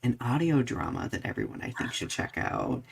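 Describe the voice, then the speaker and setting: A man's voice: fairly low pitch, animated, normal speed, creaky, husky. A man co-hosting a podcast in casual conversation, speaking expressively and emphatically with a filler-laden, searching delivery. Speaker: podcast co-host.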